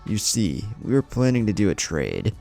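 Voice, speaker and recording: A man voicing a slangy, boastful villain gang leader who gets frustrated in an animated-style story. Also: fairly low pitch, normal speed, gravelly, faint music behind, exaggerated voice. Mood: explaining, frustrated.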